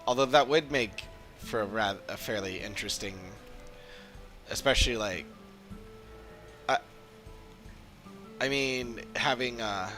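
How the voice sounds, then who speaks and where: Bright-voiced man rambling over game music. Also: fairly low pitch. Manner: musing, rambling, thinking aloud. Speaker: game streamer. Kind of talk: casual livestream commentary while playing a game.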